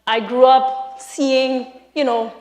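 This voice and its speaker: A woman from Trinidad with a Trinidadian accent, a lecturer giving a lively, emphatic live talk to an audience in a large hall. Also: sits high, slow pace. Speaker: speaker, university lecturer.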